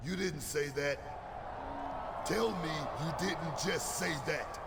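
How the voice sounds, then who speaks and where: An actor playing a brash, boastful pro wrestler in a video game, with defiant, swaggering trash talk over noisy game audio. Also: male voice, a little high, takes it slowly. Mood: defensive, irritated.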